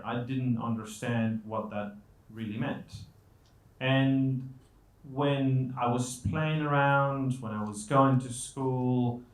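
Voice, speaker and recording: A man from Colombia giving a conversational motivational talk to an audience, with self-deprecating humour and rhetorical questions. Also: medium pitch. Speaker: guest speaker. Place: indoor event stage. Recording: echoey room.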